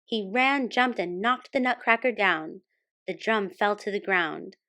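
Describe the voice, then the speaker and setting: A woman hosting a storytime and reading a children's picture book aloud as expressive scripted narration. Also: medium pitch, unhurried. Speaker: storytime host and reader.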